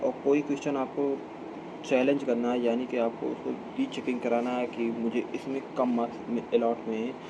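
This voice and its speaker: An Indian man giving an informal explainer as a video host, halting and repeating himself as he corrects his phrasing. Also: medium pitch. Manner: halting, repetitive phrasing with frequent self-corrections. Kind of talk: informal explainer talk to camera. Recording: clear steady background hum and ambient noise.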